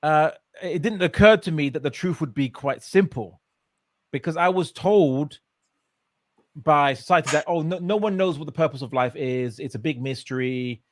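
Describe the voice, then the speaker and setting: A man's voice: fairly high pitch, moderate pace, no echo. A man from England, telling his story as an interview guest in a casual way, with many fillers and restarts. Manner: hesitant, many fillers and restarts, laughs often.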